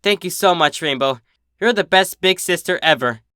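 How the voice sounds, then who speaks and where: A man's voice: high-pitched, animated, normal speed, pushed and bright, smooth, no echo, studio quality, pitched above the performer's own voice. A man voicing a young female pony, an insecure and adoring filly with an earnest, childlike, pleading manner. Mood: excited, grateful.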